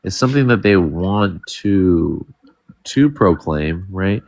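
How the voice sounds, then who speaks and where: Deep-voiced man hosting a live panel. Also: expressive, takes it slowly, creaky, a little mellow. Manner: steering, checks in with "right?" often. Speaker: host of a live panel discussion. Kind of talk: casual moderated discussion.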